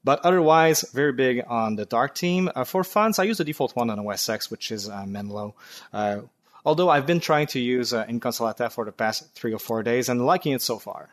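A man with a French Canadian accent talks as a podcast guest, speaking at a middling pitch in a thoughtful, unhurried way. He pauses often on "uh" while he sorts his thoughts. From Quebec, Canada. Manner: thoughtful, frequent "uh" fillers. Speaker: guest developer. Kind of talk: casual podcast interview.

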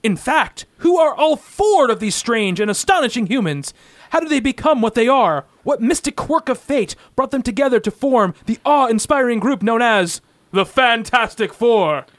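A man's voice: extremely high pitch, expressive, moderate pace, dry recording. A man narrating a comic-book radio play in a grandiose, theatrical style.

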